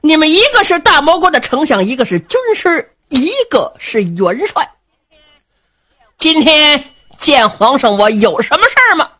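A woman voicing a boisterous, carefree middle-aged male rebel king who talks loud and brash and laughs a lot. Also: high-pitched, sing-song, unhurried, voice pushed up, full character voice. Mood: jovial.

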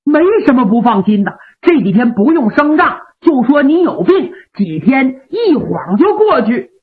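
A woman's voice: high-pitched, very animated, a little slow. Give me a reassuring loyal officer, voiced by a woman storyteller, over a phone-like line. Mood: urging. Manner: urging, reassuring. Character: loyal male officer, persuasive. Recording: phone-like narrow band.

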